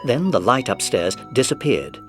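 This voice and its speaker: A man's voice: mid-range voice, very animated, moderate pace. A man narrating a children's mystery story from a script. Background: music.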